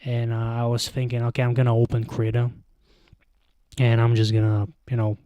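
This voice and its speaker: A man's voice: mid-range voice, monotone, normal speed, mellow, no echo. A young man with a Bulgarian accent, chatting on a casual live stream, rambling and hesitant, with lots of fillers, into a handheld mic at home. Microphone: handheld microphone close to mouth.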